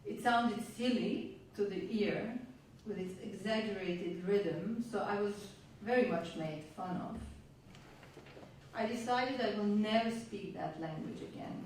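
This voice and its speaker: A woman from Yugoslavia, a native Hungarian speaker, performing a spoken-word monologue on a venue stage in a measured, reflective way with wry asides. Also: mid-range voice, darkish tone, slight background noise. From Yugoslavia (Vojvodina). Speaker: stage storyteller. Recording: roomy, distant live hall sound.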